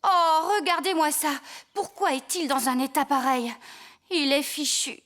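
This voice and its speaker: High-pitched, bossy cartoon farm woman. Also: dry recording. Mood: exasperated. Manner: brisk, expressive, scolding. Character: bossy, lively young woman farmer, teasing and exasperated. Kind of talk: French children's cartoon dubbing. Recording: background music under the voice.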